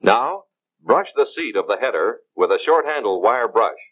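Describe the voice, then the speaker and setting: An American man reading scripted instructional narration in a measured, formal way, as in a 1950s training film. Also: medium pitch, animated. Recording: dull 1950s film soundtrack.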